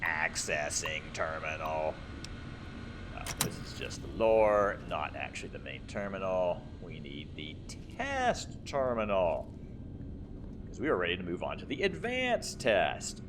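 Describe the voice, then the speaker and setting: A man's voice: sits low, sing-song, moderate pace, hardly any pauses, noisy background. A man giving casual, rambling commentary as he plays a video game, thinking aloud. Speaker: gaming video host.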